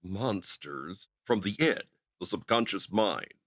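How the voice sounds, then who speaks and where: Man as an animated, earnest space hero. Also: low-pitched, takes it slowly, dry recording, studio quality, heavily acted. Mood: solemn. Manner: urgent, solemn. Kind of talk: retro radio drama parody acting.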